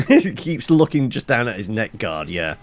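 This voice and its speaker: Very animated male gameplay commentator. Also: medium pitch, a little slow, a little hiss or hum. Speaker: video game let's player.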